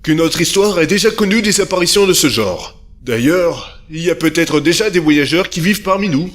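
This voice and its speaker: A man acting in an audio drama as a calm, formal inventor giving a didactic conference speech. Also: on the high side, normal speed. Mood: measured, assured. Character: calm male inventor presenting his time machine.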